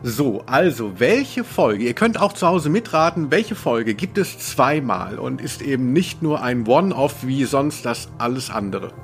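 A man's voice: mid-range voice, very animated, unhurried, hardly any pauses, soft background music. A man hosting a podcast quiz in casual chat, teasing and playfully theatrical as he poses questions. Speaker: podcast host and quizmaster.

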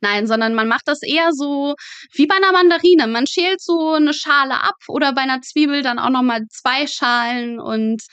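A young woman with a fairly high voice talks as an interview guest. She explains and tells anecdotes in a chatty, casual way, recorded dry with very little room sound. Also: moderate pace, fairly bright tone, no echo. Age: young adult. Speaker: interview guest, medical student. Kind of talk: casual conversational storytelling.

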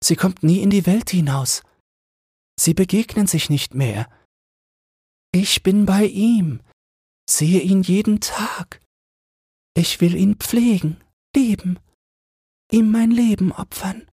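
A young mermaid from a storybook, played by a man, high and very breathy. The girl is gentle and lovelorn, speaking with tender, wistful devotion in a close, dry sound. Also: takes it slowly, long pauses, no room sound, exaggerated voice. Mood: devoted longing. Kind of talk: fairy tale audiobook character voice.

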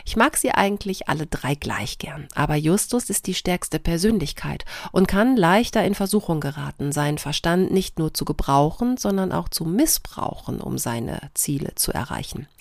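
A woman's voice: fairly low pitch, lively intonation, moderate pace, no echo, studio quality. A woman hosting a podcast, reading aloud and talking things through. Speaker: podcast host. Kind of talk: podcast talk, reading out written answers and chatting.

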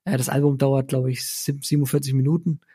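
A man co-hosting a podcast, discussing music casually in a measured, easygoing way. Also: mid-range voice, little pitch movement, mellow, husky. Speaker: podcast co-host.

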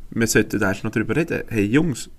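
A Swiss German man co-hosts a podcast in chatty banter. His pitch moves a lot, which keeps his voice animated. A faint noise sits behind him. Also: quick pace. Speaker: podcast co-host. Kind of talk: casual chat.

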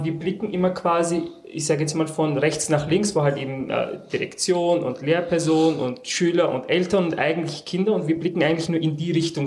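A man's voice: on the high side, darkish tone. A man, a teacher, speaking earnestly in a group discussion and often restarting words. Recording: echoey room.

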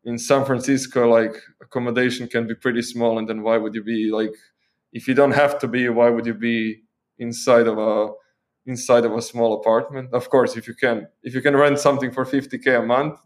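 Croatian-accented young man, casual interview. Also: mid-range voice. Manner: thoughtful, with frequent restarts and fillers. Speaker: guest.

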